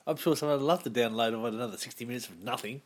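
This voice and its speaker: A woman's voice: extremely low pitch, very fast, slight background noise. Dry-witted woman podcast co-host. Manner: dry teasing quips, sweary. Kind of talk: casual tipsy chat.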